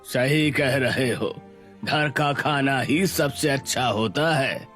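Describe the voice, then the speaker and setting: A man's voice: medium pitch, slow, a bit bright, faint music behind. A man playing a practical, thrifty, resigned father of a poor family in a cartoon story. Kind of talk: Hindi cartoon story dialogue.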